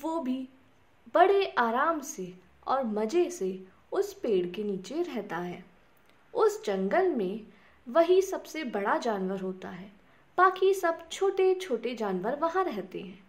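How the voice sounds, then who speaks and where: A woman's voice: sits high, big pitch swings, slow pace. A woman narrating a scripted bedtime story for children, speaking to them directly in simple words. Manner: addresses small children directly with simple questions and repeated words.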